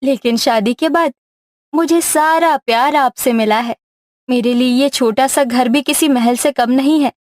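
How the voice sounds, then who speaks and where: A woman's voice: sits high, a little slow, a little mellow, no echo, studio quality. A woman playing a meek, devoted sister in a family story, with a plaintive, earnest manner. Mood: sad, earnest. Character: meek, sorrowful, devoted dark-skinned younger sister.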